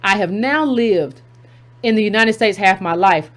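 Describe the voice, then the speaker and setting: A woman's voice: medium pitch, unhurried. A woman hosting a commentary channel, reading a text aloud and breaking in with emphatic asides, repeating key phrases for effect.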